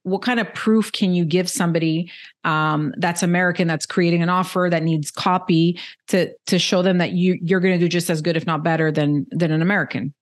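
A woman's voice: fairly low pitch, steady tone, brisk. A woman hosting an interview, asking long, chatty questions with fillers. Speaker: interview host.

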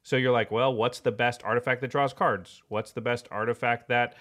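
A man's voice: medium pitch, steady tone, moderate pace, a bit bright. A man co-hosting a casual podcast talks in an ordinary mid-range voice. He explains things in a loose, rambling way, with plenty of fillers along the way. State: short on sleep. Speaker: co-host.

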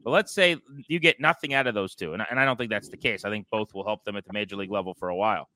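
Bright, crisp-voiced male sports podcast host. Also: lowish voice, rapid-fire, no echo. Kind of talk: conversational sports talk.

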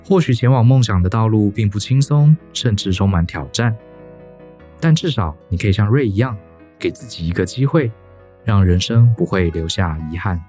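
A man's voice: fairly low pitch, animated, normal speed, dark tone. A man giving scripted, reflective narration addressed to the listener. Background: music.

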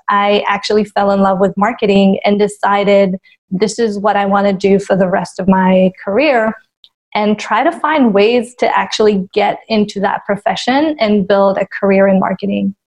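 A woman's voice: medium pitch, fairly even intonation, moderate pace, poor audio. A woman from Israel, a marketing executive, answering interview questions in a thoughtful, candid way.

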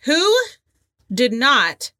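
A woman's voice: fairly high pitch, sing-song, extremely slow, sharp tone, dry recording, studio quality. A woman in her thirties co-hosting a podcast in casual, conversational chat. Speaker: podcast co-host and trivia game player.

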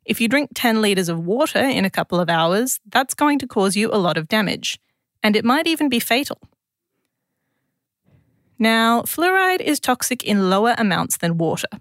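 A woman's voice: a little high, sing-song, moderate pace, a bit bright, dry recording, clean studio sound. A woman with an Australian accent presents a scripted science explainer in a conversational, lightly wry way.

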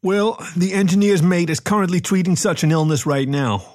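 A man's voice: sits high, brisk, a little mellow, pitched above the performer's own voice, lightly acted. A man voicing a male spaceship captain in an audiobook story, wry, teasing and emphatic. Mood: earnest.

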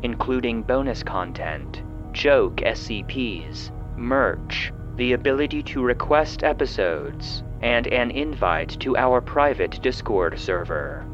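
A man reading scripted narration and credits as a show's narrator, in a formal, measured announcing style. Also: medium pitch, big pitch swings, muffled recording. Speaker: producer and narrator. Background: music.

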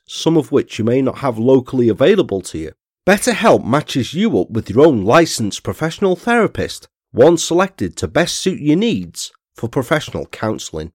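A man hosting a true crime podcast, reading an ad and chatting to listeners, his voice a little croaky from a cold. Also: medium pitch, no echo, studio quality. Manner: chatty, friendly asides to listeners. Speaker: podcast host. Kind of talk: scripted podcast narration and ad read.

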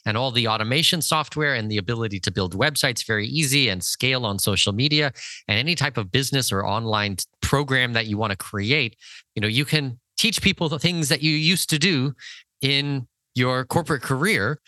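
A man's voice: mid-range voice, brisk, pushed and bright, dry recording. A man hosting a conversational interview, talking in an affirming, enthusiastic manner. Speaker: podcast host. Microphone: close mic on an internet call.

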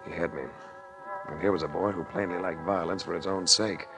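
Low-voiced hard-boiled private eye. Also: male voice, fairly quick, creaky, loud music behind the voice. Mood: wry narration. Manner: wry, terse, deadpan. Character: cool, hard-boiled private investigator narrating his case. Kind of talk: 1940s radio detective acting and narration. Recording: noisy vintage 1940s radio recording.

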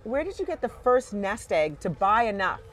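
A woman's voice: mid-range voice, big pitch swings, normal speed. A woman from Ghana, interviewing a vendor with upbeat, encouraging questions. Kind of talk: casual street interview.

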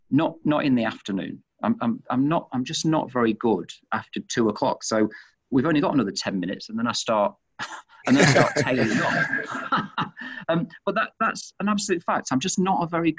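A man's voice: medium pitch, slightly rough, lo-fi sound. A middle-aged man with a Manchester accent, head of an organization, answering interview questions on leadership and often repeating words as he works out his point. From England.

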